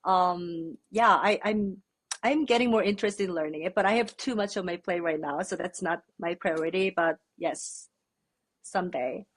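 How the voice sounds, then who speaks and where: A young Korean woman, a native Korean speaker, answering interview questions in a relaxed, thoughtful way with many fillers. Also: fairly low pitch, moderate pace. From South Korea. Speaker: podcast guest. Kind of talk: casual interview chat.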